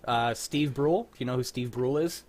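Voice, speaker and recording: A man's voice: medium pitch, brisk, background noise. A man hosting a casual group chat in a joking, rambling, freely swearing way. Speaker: host of a livestreamed script-reading show.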